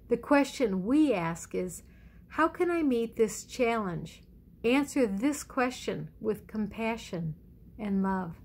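A middle-aged woman pastor giving a conversational sermon at home in a measured, reflective way with deliberate pauses. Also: medium pitch. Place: indoors in a living room at home. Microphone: phone or webcam at arm's length.

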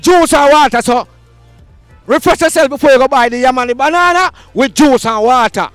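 Jamaican street host, young man, high-pitched and hyped, handheld mic, dry outdoor sound. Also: a little fast, smooth, no echo, faint music behind. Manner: hyped, shouting slogans, Patois banter. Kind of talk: roving market vox pop with a handheld mic.

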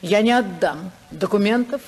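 An actress playing a dignified, disillusioned senator's wife, earnest, measured and defiant, in a 1950s Soviet radio drama. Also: female voice, mid-range voice, slightly rough. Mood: defiant, resolute.

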